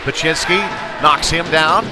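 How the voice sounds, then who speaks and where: Male hockey play-by-play man over rink noise. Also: unhurried. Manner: running description with excited shouts at big plays. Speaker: play-by-play commentator. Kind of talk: live youth hockey commentary. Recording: noisy arena with rumble and thuds.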